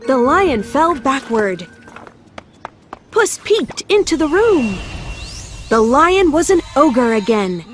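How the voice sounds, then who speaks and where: A woman's voice: high-pitched, very animated, slow, long pauses, soft background music. A woman narrating a scripted children's story as the storyteller.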